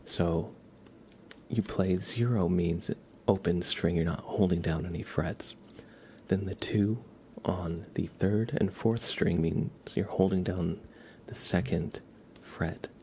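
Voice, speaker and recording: Male ASMR live streamer, low and mellow, soft with slips into whisper, informal halting explainer, slight noise behind. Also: fairly even intonation.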